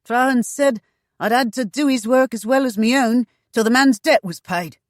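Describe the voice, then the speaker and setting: A woman voicing a fat, bitter male pirate eunuch who gloats in rough tavern dialect. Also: on the high side, moderate pace, dry recording, clean studio sound, pitched above the performer's own voice, lightly acted. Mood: bitter, gloating. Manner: coarse dialect, tavern bluster.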